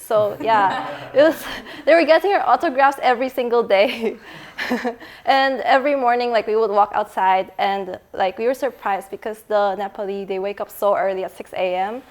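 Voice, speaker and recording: A young woman on a student panel, lively and chatty as she tells about a trip, laughing easily. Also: on the high side, moderate pace. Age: young adult. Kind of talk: casual storytelling.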